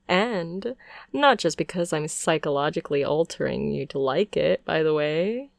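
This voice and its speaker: A woman voicing a doting, possessive witch in an intimate ASMR roleplay, speaking in a coaxing, softly condescending way. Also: deep voice, expressive, moderate pace, gravelly, no room sound, clean studio sound. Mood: soothing, coaxing. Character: doting, possessive yandere witch, sweetly sinister. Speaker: ASMR voice performer.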